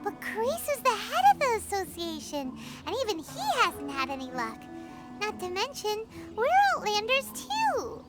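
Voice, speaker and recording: A woman voicing a small, excitable, childlike fairy companion in a video game. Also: very high-pitched, unhurried, exaggerated voice. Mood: concerned. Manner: exclaiming, refers to self in third person. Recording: loud music behind the voice. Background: loud game music.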